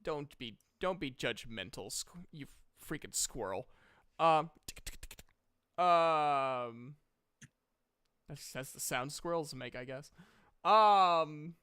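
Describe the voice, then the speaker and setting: A man runs a tabletop fantasy game, narrating scenes off the cuff. His voice is bright and strikingly clear, and his delivery is slow and halting. He often thinks aloud and slips in playful asides. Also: on the high side, lively intonation. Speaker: game master.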